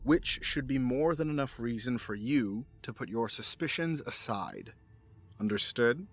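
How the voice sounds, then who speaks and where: A man playing a cold, commanding criminal mastermind in an audio drama, speaking in a formal, measured, authoritative way. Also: mid-range voice, animated, a little hiss or hum. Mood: cold authority. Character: cold, commanding male criminal mastermind in a suit-and-tie world.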